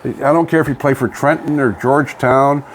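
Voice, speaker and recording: A man's voice: medium pitch, fairly even intonation, quick pace. Canadian man chatting over background noise. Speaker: co-host of a local online radio vlog. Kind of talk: casual local chat and hockey commentary. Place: home studio. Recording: noisy home studio with background ambience.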